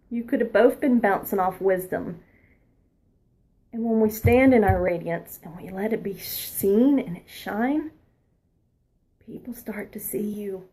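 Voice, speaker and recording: A woman past her twenties giving a spiritual oracle card reading, earnest and encouraging, sometimes dropping into a soft whisper. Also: medium pitch, breathy edge. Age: adult past her twenties.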